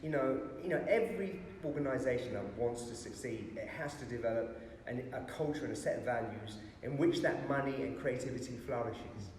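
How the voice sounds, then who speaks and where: A man in his fifties talks about producing dance in an easy, animated way, often saying "you know". His voice rings out in a very echoey, reverberant hall. There is a faint hiss behind him. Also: mid-range voice, fairly quick. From Pakistan, raised in North London. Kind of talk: casual interview.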